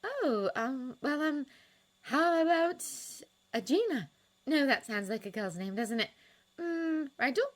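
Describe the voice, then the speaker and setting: A woman reading aloud and voicing a shy, nervous young woman who speaks softly and hesitantly. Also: high-pitched, very animated, unhurried, voice pushed up. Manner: soft, hesitant, uncertain.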